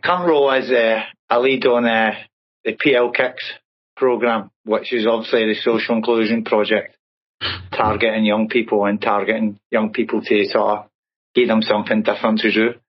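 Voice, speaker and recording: A man's voice: fairly low pitch, little pitch movement, normal speed, lo-fi sound. A man in his fifties with a Glaswegian accent, telling his life story as an interview guest in a chatty way, often adding "right?". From Scotland. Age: 50s. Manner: chatty, peppers speech with "right?".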